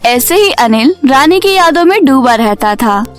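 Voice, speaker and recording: A very high-voiced woman tells a scripted cartoon story. She works as the storyteller, with music playing behind her narration. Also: big pitch swings, unhurried.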